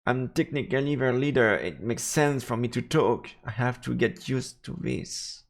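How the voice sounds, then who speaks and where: Gravelly male streamer. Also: mid-range voice, normal speed. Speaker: game streamer. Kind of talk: live let's play, commentary and reading aloud.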